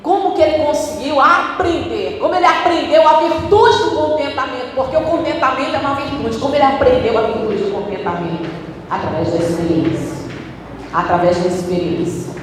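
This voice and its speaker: A female pastor preaching a sermon in a church, emphatic, with sudden swings in pitch and tempo. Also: fairly quick, noisy background. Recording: echoey church hall, recorded from a distance.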